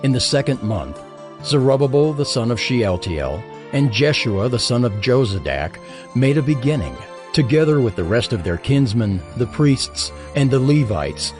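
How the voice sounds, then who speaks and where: A man narrating scripture in a solemn, expressive reading. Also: mid-range voice.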